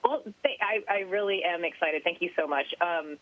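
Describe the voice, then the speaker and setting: A woman hosting a podcast asks an astronaut questions in an excited, chatty way, often saying "like". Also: lowish voice, normal speed, dry recording, narrow, tinny audio. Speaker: podcast host and interviewer. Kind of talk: enthusiastic interview questions.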